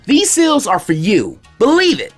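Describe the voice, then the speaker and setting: Guy doing a loud show-off teen ninja kid, high and bouncy. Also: wildly swooping pitch, measured pace, soft background music, full character voice. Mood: cocky. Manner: cocky, loud, showy. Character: brash, boastful teenage boy ninja.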